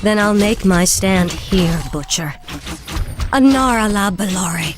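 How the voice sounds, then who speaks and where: An actress playing a proud, defiant elven ranger commander in a fantasy video game, fierce and dramatic. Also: female voice, medium pitch, animated, slow pace.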